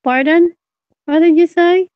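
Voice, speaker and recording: A shy woman from Indonesia joins a video call on her mobile phone. Her voice is high and light, and she speaks hesitantly, with a giggle never far away. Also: measured pace, dull audio, a little hiss or hum. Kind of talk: casual video call chat.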